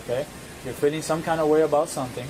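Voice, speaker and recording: Fast-talking police officer. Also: male voice, fairly high pitch, steady tone. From Cuba. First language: Spanish. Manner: patient, coaxing, quick-talking. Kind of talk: calming, de-escalating talk. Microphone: police body camera. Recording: noisy body camera audio.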